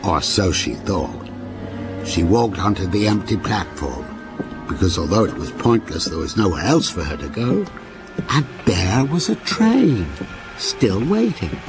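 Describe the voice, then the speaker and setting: An actor playing a courteous, softly insistent storyteller and train passenger in a radio ghost story, with a measured, solicitous way of talking. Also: male voice, deep voice, sing-song, music in the background. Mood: suspenseful.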